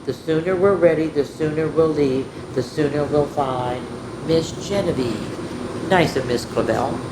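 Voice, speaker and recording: A woman's voice: low-pitched, a little slow. A woman hosting a children's story time, reading picture books expressively and chatting directly to her young listeners. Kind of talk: animated picture book reading with chatty asides. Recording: echoey room, clearly noisy background hum.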